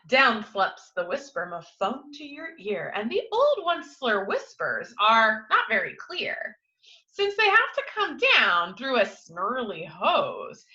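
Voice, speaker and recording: A woman reading a picture book aloud to young children like a teacher, with expressive, dramatic emphasis and coaxing questions. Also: fairly high pitch, highs cut off. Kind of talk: animated children's storytime read-aloud with asides and questions.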